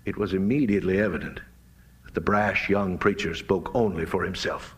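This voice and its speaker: An American man preaching in a dramatic, deliberate oratorical style, with emphatic swells, long pauses and hushed phrase endings. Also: sits low, fairly even intonation. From United States. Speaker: pastor, guest speaker. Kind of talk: fiery sermon-like political speech to a live audience.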